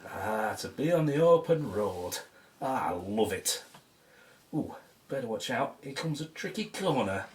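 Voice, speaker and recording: A chatty, genteel British man plays the archaeologist with theatrical flair. He takes his time over the words, with a very lively rise and fall in pitch. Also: measured pace, a little hiss or hum. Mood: exhilarated. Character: chatty, genteel male archaeologist who loves motorbikes. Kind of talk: audio drama acting.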